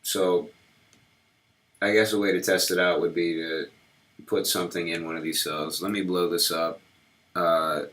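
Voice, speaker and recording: A man walking viewers through his website code live, talking in halting starts while slightly sick and losing his voice. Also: low voice, little pitch movement, a bit bright. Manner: halting, lots of ums and restarts while working. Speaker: web developer streaming his work. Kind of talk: casual live-coding walkthrough.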